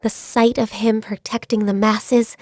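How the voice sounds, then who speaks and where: An actress voicing a gentle, shy and diligent young female secretary in a video game, soft-spoken, earnest and a little hesitant. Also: on the high side, little pitch movement, measured pace, dry recording, clean studio sound. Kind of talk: video game character voice lines. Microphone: close studio mic.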